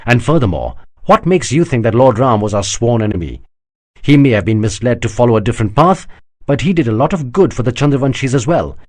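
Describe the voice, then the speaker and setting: A man reading an audiobook, voicing a sharp-witted proud woman who teases and mocks gently. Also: mid-range voice, animated, brisk, voice pushed up, close to an everyday voice. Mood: exasperated. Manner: teasing, gently mocking. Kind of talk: audiobook character voice.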